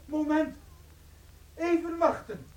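A man's voice: very high-pitched, fairly even intonation, slow pace, a little mellow, poor audio. An actor playing a loving husband in his thirties in a radio play, theatrical and cheerfully resigned. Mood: ominous, hesitant. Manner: theatrical, placating.

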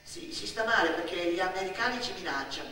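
Bright-voiced woman storyteller in echoing space. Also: fairly low pitch, fast, slight background noise. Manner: expressive, emphatic, repeats words and lists. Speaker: actress, solo storyteller. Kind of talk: theatrical radio monologue, narrative storytelling. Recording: echoey stage sound, distant, music behind.

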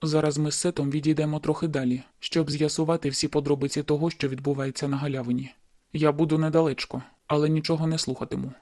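A man voicing a calm, caring young man. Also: on the high side, no echo, clean studio sound, natural voice. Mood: gentle. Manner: quiet, careful, measured. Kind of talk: audiobook dialogue.

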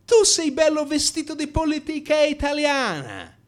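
A man voicing a brash nightclub woman who speaks in halting, broken sentences, in a radio monologue. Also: very high voice, sing-song, voice pushed up. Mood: insistent. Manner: halting, broken grammar, repeats words. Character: brash red-haired nightclub woman with broken, foreign-sounding speech.

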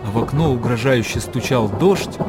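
A man narrating a literary radio drama in measured, expressive storytelling. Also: mid-range voice. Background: music.

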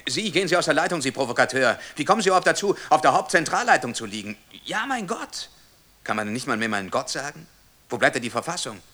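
An actor playing a servile, easily flustered state telephone clerk in a radio play, stammering and officious, quick to turn from indignation to obsequiousness. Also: male voice, a little high, very animated, sharp tone, heavily acted. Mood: indignant. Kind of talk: German radio play acting.

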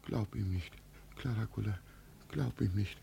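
A husky, deluded old emperor. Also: male voice, on the low side, measured pace, dark tone, heavily acted. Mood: desperate prayer. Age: older. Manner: pleading, solemn, theatrical. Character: mad old father who believes himself an emperor. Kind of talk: 1950s German radio play acting. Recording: vintage 1950 radio recording.

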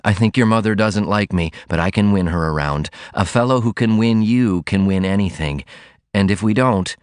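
Man acting an ardent young lover, low voice. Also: moderate pace, clear, resonant tone, dry recording, studio quality, natural voice. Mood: confident, loving. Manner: earnest, fervent. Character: ardent young working man madly in love. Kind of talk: audiobook character dialogue.

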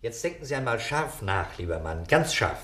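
Police inspector, medium pitch, brusque, impatient, insistent, vintage radio detective drama. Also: male voice, big pitch swings, moderate pace, a bit bright, faint music behind. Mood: probing, impatient. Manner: insistent, commanding. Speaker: actor in radio crime drama. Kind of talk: early 1960s German radio play acting.

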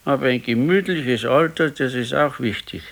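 A man's voice: medium pitch, normal speed, creaky, lightly acted. An actor playing a discontented, regretful husband in his sixties in a naturalistic radio play, talking plainly with a Bavarian accent. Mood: brooding regret. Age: 60s. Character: discontented, regretful ailing 68-year-old husband. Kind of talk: naturalistic 1970s radio play dialogue in dialect.

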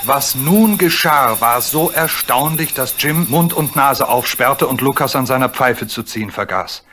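Bright-voiced male storyteller. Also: mid-range voice, measured pace. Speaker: narrator. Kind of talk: scripted storybook narration for a radio play.

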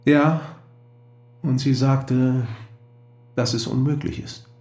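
A man's voice: medium pitch, steady tone, slow pace, a little mellow, close to an everyday voice. An actor playing an earnest, persuasive male friend in a radio drama.

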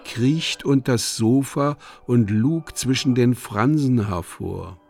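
A man narrating a grotesque radio farce, reading stage directions with deliberate, dramatic relish. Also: medium pitch, vocal fry, darkish tone.

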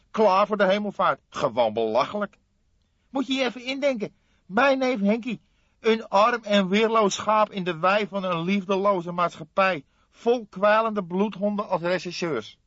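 A man voicing a scrawny, excitable café owner from the underworld in an audiobook, talking emphatic street slang. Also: high voice, a little slow, dry recording, pitched above the performer's own voice, exaggerated voice. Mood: indignant. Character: scrawny indignant small-time underworld café owner, male. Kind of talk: audiobook character acting. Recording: slightly dull, dry studio recording.

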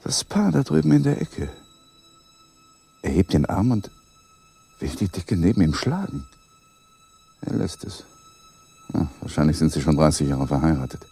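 An actor playing Walter, an uneasy and suspicious husband, in a 1980s German radio play, with brooding inner monologues. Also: male voice, low-pitched, huge pitch swings, normal speed, gravelly, long pauses, dark tone, breathy edge, soft background music, full character voice.

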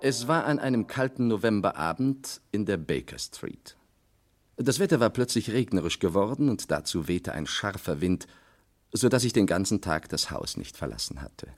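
A detective's companion recounting old cases in a vintage radio play. His voice is very low, with a lively rise and fall, and his telling is measured and literary. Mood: reflective. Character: Doctor Watson, male war veteran narrating his memoirs. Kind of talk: 1960s radio drama storytelling.

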